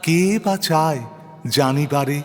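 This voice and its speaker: A man reciting devotional poetry in a solemn, declamatory way with drawn-out, chant-like phrasing. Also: on the high side, fairly even intonation, fairly bright tone. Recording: long hall reverb, music behind. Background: music.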